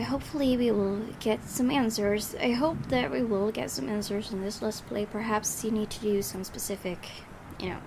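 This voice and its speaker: A woman's voice: mid-range voice, noisy background. Woman musing over a horror game. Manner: thinking aloud, puzzling over the plot. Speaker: game streamer. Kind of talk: Let's Play commentary and reading aloud.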